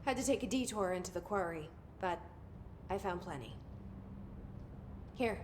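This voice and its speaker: A woman's voice: fairly low pitch, lively intonation, moderate pace, roomy sound, noisy background, close to an everyday voice. An actress playing a determined, guarded young woman in charge, in a video game, speaking tersely and directly. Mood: resolute, businesslike. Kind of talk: video game cutscene dialogue.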